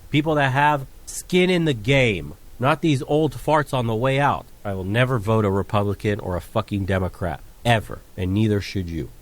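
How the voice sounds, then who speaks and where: A man from Romania hosting a channel, delivering a blunt, profane, heated rant to his viewers. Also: mid-range voice. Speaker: channel host. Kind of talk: ranting commentary to camera.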